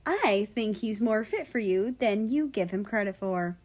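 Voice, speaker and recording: A woman voicing an excitable, mischievous fanged fangirl with gushing, slangy talk in a story reading. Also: mid-range voice, measured pace. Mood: sly.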